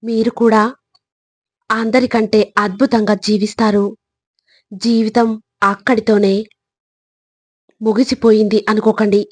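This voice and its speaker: A woman narrates a motivational message in a husky voice at an average pitch. She speaks in deliberate, measured phrases with an earnest, exhorting manner and little melodic movement. The recording is dry and close, without room sound. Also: flat delivery, darkish tone, no room sound.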